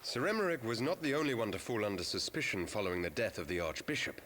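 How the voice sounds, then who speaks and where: An actor playing an earnest, conflicted young noble heir in a fantasy game, speaking in formal archaic language. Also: male voice, fairly low pitch, lively intonation, fast talker, fairly bright tone. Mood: grave. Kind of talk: scripted fantasy game acting.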